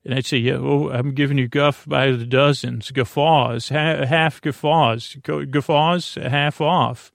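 Gravelly, rambling bedtime podcast host, a man. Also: mid-range voice, steady tone, unhurried, hardly any pauses, dry recording, clean studio sound. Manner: meandering, goes off on tangents and wordplay, many fillers.

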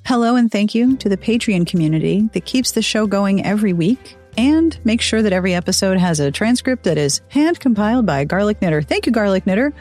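A woman's voice: mid-range voice, animated, a little fast, hardly any pauses, darkish tone, faint music behind. Woman, podcast host, chatty, upbeat, personal ad reads. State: recovering from illness. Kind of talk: scripted podcast intro and host-read ads.

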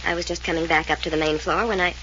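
An actress playing a defiant young woman of a wealthy family in a 1940s radio drama, sharp, defensive and sardonic. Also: lowish voice, fairly even intonation, quick pace. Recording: dull old radio recording with faint hiss.

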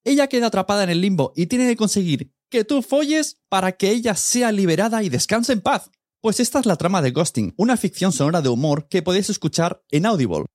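A man's voice: fairly high pitch, a little mellow, dry recording, studio quality. A man with an accent from Spain talks casually and directly to the listener as a podcast host. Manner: chatty, direct address to listener.

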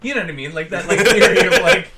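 Lively high-voiced man chatting. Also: fast, husky. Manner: animated, acts out quoted texts in different tones. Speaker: podcast co-host. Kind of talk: casual chat.

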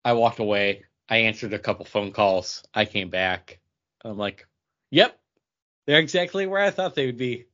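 Lowish-voiced man chatting on dull audio. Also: expressive, moderate pace, fairly bright tone. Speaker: podcast co-host. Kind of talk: casual chat.

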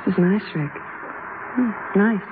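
An actress playing a warm-hearted, teasing young American band singer with a hesitant way of talking in a 1950s radio drama. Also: female voice, medium pitch, expressive, a little slow, natural voice. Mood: tender. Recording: muffled, echoing, hissy old radio recording.

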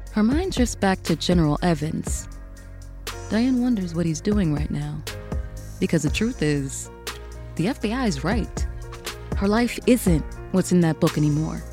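A woman's voice: fairly low pitch, warm tone. A woman reading scripted, dramatic story narration for a fiction podcast.

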